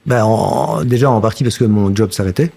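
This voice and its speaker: A man's voice: fairly low pitch, normal speed, mellow. A Belgian man in his fifties with a slight Flemish-tinged French accent, speaking as an interview guest. He talks reflectively, hesitating often and restarting sentences. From Belgium, near Brussels. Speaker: guest, actor and puppeteer. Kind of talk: casual interview talk.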